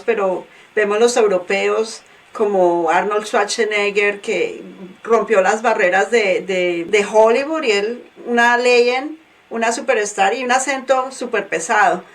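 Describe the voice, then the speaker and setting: A woman hosting a podcast interview from Michigan, praising her guest with halting restarts. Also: mid-range voice, recorded from a distance. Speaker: podcast host.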